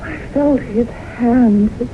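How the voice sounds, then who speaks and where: A woman's voice: a little high, takes it slowly. Radio-drama lead: earnest, fearful woman in love, fatalistic, dramatic, on a muffled, noisy old broadcast. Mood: anguished.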